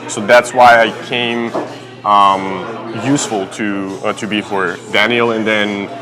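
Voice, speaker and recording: A young man from Serbia, a basketball player, answering interview questions in a halting way with many fillers and phrasing. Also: on the low side, steady tone, a crowd in the background, poor audio. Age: young adult.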